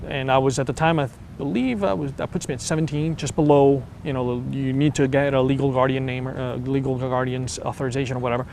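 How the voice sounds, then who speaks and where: A man's voice: medium pitch, steady tone, quick pace, vocal fry, hardly any pauses, darkish tone, a little hiss or hum. A man in his thirties from Venezuela telling his own story with many ums and restarts. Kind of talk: personal testimony.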